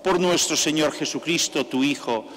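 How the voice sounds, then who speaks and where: An older man, a priest, leading mass with a slow, measured liturgical delivery into a stand microphone in a large church. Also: on the high side, fairly bright tone. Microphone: stand mic at the altar. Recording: long church reverb, faint background noise.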